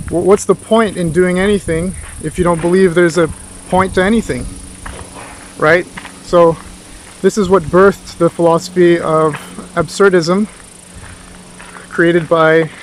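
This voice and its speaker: A man's voice: a little high, slight background noise, rough recording. A man giving an informal philosophy lecture as a tutor, thinking aloud in a rambling, thoughtful way with rhetorical questions.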